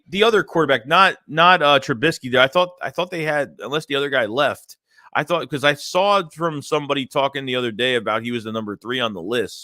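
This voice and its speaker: A man's voice: mid-range voice, bright, forceful tone, dry recording, studio quality. A young man hosting a casual fan livestream, reading chat messages and rambling loosely with lots of "man" and "dude". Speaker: fan channel host reading live chat.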